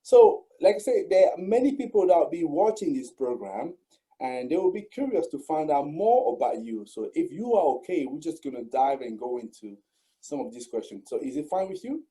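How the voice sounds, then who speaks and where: A man's voice: a little high, big pitch swings, moderate pace, warm tone, rough recording. A man hosting an online interview, speaking formally and repeating his phrases for emphasis. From Cameroon. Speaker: host and channel founder.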